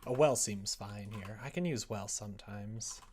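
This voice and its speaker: A man's voice: lowish voice, unhurried, darkish tone, a little hiss or hum. Young man in casual livestream chat. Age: young adult. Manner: self-deprecating, sardonic grumbling. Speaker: livestream host.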